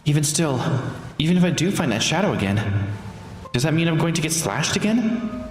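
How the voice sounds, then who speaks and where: A man voicing a pensive, uneasy young woman, the protagonist of a visual novel, in a measured inner monologue. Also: a little high, big pitch swings, slight background noise, voice pushed up. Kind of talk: reading visual novel dialogue aloud in character. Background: game music.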